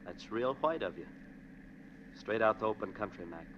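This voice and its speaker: A man's voice: on the low side, animated, moderate pace, lightly acted. Actor as a menacing gunman with swooping delivery. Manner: tough, slangy, threatening. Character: menacing gunman carjacker, rough slangy crook. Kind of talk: 1940s radio suspense acting. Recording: dull, noisy vintage radio broadcast.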